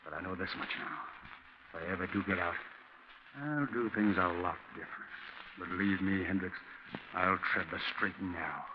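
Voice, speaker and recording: An actor playing a reformed gangster convict, resigned and firm, talking in plain tough slang in a 1940s radio drama. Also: male voice, low voice, moderate pace, close to an everyday voice. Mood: resigned, earnest. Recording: noisy, muffled 1940s radio recording.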